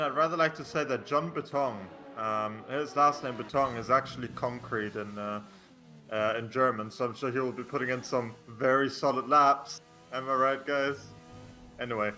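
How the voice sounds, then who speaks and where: A Danish-accented man co-hosts a sim-racing stream, slipping dry teasing jokes between lap calls. His tone is bright and clear. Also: mid-range voice, fairly even intonation, normal speed, faint music behind. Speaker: co-commentator. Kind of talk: casual live sim-racing commentary.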